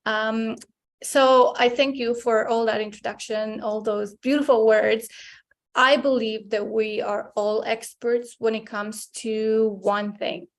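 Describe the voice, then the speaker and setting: A woman's voice: a little high, fairly even intonation, slow. A woman from Romania, a guest coach giving earnest, encouraging interview answers and speaking straight to the listeners.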